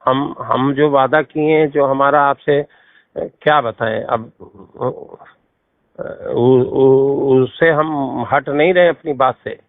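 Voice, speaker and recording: Male caller, medium pitch, placating and defensive, halting delivery, thin dry phone audio. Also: fairly even intonation, no echo. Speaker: politician on a recorded phone call. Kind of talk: private phone argument. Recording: tinny lo-fi phone call recording.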